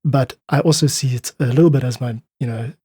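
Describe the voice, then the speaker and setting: Husky South African man in earnest conversation. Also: mid-range voice, a little fast, mellow, dry recording, clean studio sound. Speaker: podcast guest, independent musician. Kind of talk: reflective interview conversation.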